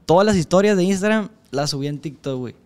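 Podcast guest: twenties, male, rapid relaxed slang, low warmth in tone. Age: 20s. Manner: slangy, says "güey" constantly, laughs often. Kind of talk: casual chat.

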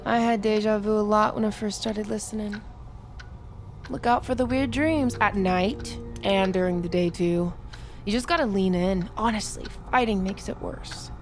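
An actress playing a cocky, irreverent young army recruit in a naturalistic audio drama, sardonic and flippant. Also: female voice, mid-range voice, moderate pace, faint music behind, close to an everyday voice. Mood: wry, teasing. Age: young adult.

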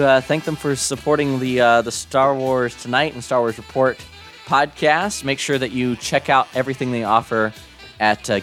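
A young man with a slightly hoarse voice runs a casual podcast. He rambles cheerfully, tripping over restarts and fillers at a middling pitch. Faint guitar music sits quietly in the background. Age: young adult. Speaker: podcast host. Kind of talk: casual live podcast sign-off.

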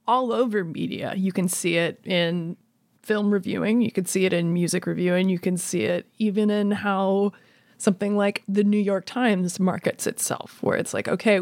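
A woman's voice: medium pitch, darkish tone, no echo. Woman podcast interviewer, close and dry. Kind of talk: conversational interview questioning.

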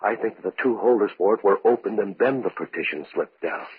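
An actor playing a grave, intense male research scientist in an old-time radio horror drama, speaking deliberately. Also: fairly low pitch, husky. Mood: hushed, grave. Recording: muffled, roomy vintage radio recording.

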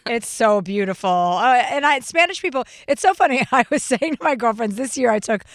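A woman's voice: on the high side, expressive, fairly quick, dry recording, clean studio sound. Chatty swearing woman podcast host. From United States. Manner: chatty storyteller, swears freely, mimics people in anecdotes. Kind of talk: casual chat.

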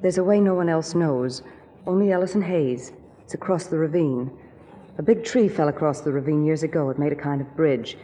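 A woman's voice: sits low, a little fast, dark tone, close to an everyday voice. An actress playing a guarded, unhappy young lady of a wealthy estate in 1940s radio drama, composed and evasive. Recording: faintly noisy 1940s radio recording.

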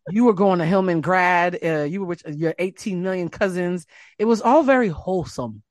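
A woman's voice: on the low side, moderate pace, no echo, studio quality. A woman hosting a podcast in casual chat, telling stories and acting out the people in them. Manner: animated storyteller, acts out quotes. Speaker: podcast host.

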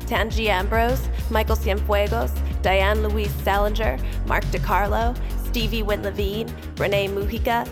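A woman announcer giving a scripted, measured, formal reading of credits. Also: medium pitch, flat delivery, music in the background.

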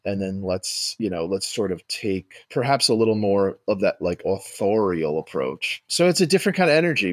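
A man's voice: fairly low pitch, no echo, clean studio sound. A man talking reflectively and enthusiastically as an interview guest, explaining creative work. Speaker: podcast guest, writer and director. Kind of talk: interview conversation.

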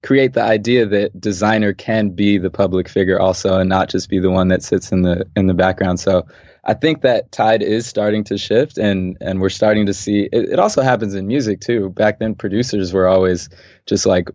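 A young man from Los Angeles, a podcast guest and designer, talking thoughtfully and calmly in a relaxed interview and laughing easily. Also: on the low side, normal speed, no room sound. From Los Angeles, USA. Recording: dull, dry podcast audio.

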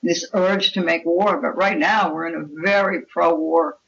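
A woman's voice: on the low side, fairly even intonation, unhurried, creaky. A woman's voice comes through slightly dull, lo-fi audio. She speaks as an author being interviewed, explaining at length in a learned, discursive way. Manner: erudite, explanatory. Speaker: author, podcast guest.

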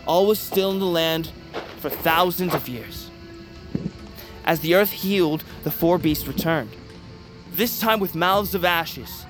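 Man as a slow, high-voiced mythic storyteller. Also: over music, close to an everyday voice. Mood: solemn, ominous. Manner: portentous, incantatory. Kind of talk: dramatic scripted myth narration.

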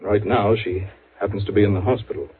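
Through a very muffled, fuzzy old radio recording comes a troubled American businessman. His voice is markedly rough and husky, and his manner is grave, formal and urgent, in 1950s radio drama style. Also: fairly low pitch, fairly even intonation, brisk. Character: anxious, earnest businessman, one of identical twin brothers.

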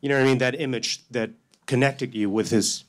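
A man's voice: medium pitch, fairly even intonation, fairly quick. Man rambling through an audience question. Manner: rambling, searching for words. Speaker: audience member asking a question.

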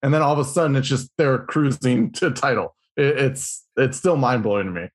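A man co-hosting a sports podcast, talking in an excitable, rambling way. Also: medium pitch, fairly quick. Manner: excitable, rambling, lots of fillers and restarts. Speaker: podcast co-host. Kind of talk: casual sports podcast chat.

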